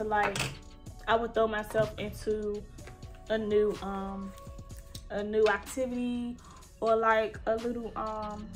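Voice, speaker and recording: A young woman in her twenties chatting casually and warmly while she does her makeup, her nose stuffy from allergies. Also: mid-range voice. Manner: chatty, heartfelt, colloquial. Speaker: beauty vlogger. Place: bedroom, indoors.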